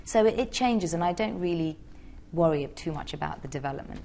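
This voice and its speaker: A woman's voice: fairly low pitch, lively intonation, brisk, vocal fry, warm tone, slight background noise. A young woman from Georgia answering interview questions in a chatty, hesitant way with many fillers. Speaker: interviewee, singer.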